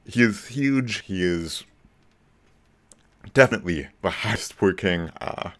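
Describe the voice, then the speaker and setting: A man in his forties hosting a casual live stream about movies, talking in a rambling way with lots of ums and restarts, his voice a bit lower than usual. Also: animated, a little slow, a bit bright. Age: 40s. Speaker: movie channel host.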